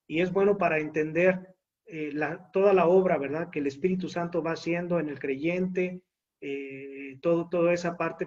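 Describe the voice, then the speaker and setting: A man with a high voice leads a church group discussion and explains points of doctrine. He checks in with his listeners often as he talks. The audio is dull and lo-fi. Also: a little mellow. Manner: explanatory, asks "right?" often. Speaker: church teacher leading a discussion.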